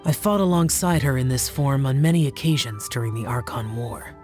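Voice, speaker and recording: A man's voice: mid-range voice, expressive, darkish tone, faint music behind, close to an everyday voice. Man playing a composed, dignified immortal. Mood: calm, dignified. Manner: measured, formal, composed. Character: calm, dignified ancient male immortal, wise veteran.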